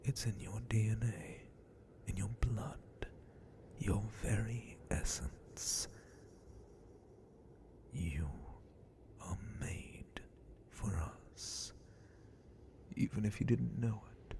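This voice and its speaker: A man voicing an ancient, sadistic dragon captain in an ASMR roleplay, menacing and condescending in a breathy, gravelly whisper with drawn-out theatrical delivery. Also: on the low side. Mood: haughty, disdainful. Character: ancient sadistic male dragon guard captain, possessive captor.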